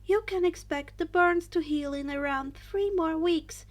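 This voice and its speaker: A woman plays a male doctor in a story reading, in a high, lifted voice. The doctor is matter-of-fact and reassuring, giving instructions in a helpful clinical way. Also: measured pace.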